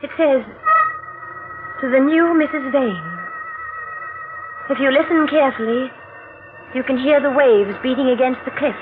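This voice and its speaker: High-voiced anxious bride, tinny radio. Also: female voice, lively intonation, measured pace, long pauses. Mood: uneasy. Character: anxious, devoted young bride with nagging doubts. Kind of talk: 1940s radio drama acting. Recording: tinny old radio, music behind.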